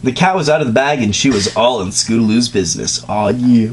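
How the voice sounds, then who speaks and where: A man hosting a comedic dramatic reading, reading the text aloud and breaking off into sarcastic, deadpan asides. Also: mid-range voice, fairly quick, slight background noise. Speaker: reader and host.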